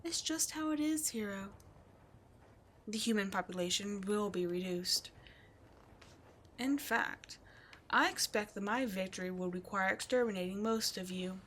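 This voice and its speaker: A woman plays an imperious demon queen in an ASMR roleplay. She is cruel and seductive, drawing out her words very slowly in a medium-pitched voice that often slips toward a breathy whisper. Clear background noise sits behind her. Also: lively intonation, fairly bright tone. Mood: cold, scheming. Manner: slow, deliberate, haughty, often breathy and whispered. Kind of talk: ASMR roleplay villain monologue.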